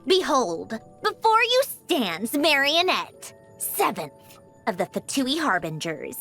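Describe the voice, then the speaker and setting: An actress playing a haughty, refined marionette villain in a video game, prim and theatrical, with dismissive huffs and a softer side. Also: female voice, sits high, big pitch swings, slow pace, faint music behind, full character voice. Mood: haughty, formal. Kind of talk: scripted video game character voice lines.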